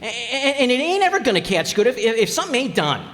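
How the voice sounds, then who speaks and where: Blustering folksy husband for radio comedy, played by a man, animated, stammering and slangy, recorded in a reverberant hall. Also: very high voice, quick pace, heavily acted. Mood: flustered. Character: blustering, stubborn husband who mangles his grammar. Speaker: actor in radio comedy play. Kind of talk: old-time radio comedy acting. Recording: echoey hall, live stage performance.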